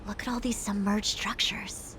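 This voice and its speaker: An actress playing an earnest, inquisitive young officer in a video game, speaking formally and articulately. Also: female voice, medium pitch, steady tone, moderate pace, background noise, close to an everyday voice. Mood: curious, observant. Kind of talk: English video game voice acting.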